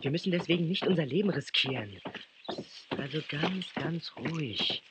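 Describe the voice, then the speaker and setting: A man's voice: fairly high pitch, expressive, normal speed. An actor playing a cautious, good-natured young man on safari in a children's radio play. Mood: urgent, hushed. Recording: noisy old tape.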